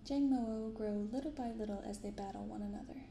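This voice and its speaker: A young woman speaking softly in an ASMR style, unhurried as she comments and reads aloud. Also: mid-range voice, vocal fry, roomy sound, a little hiss or hum. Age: young adult. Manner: unhurried, hushed commentary and reading from cards. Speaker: host of a card-opening channel. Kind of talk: soft-spoken ASMR. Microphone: binaural microphone.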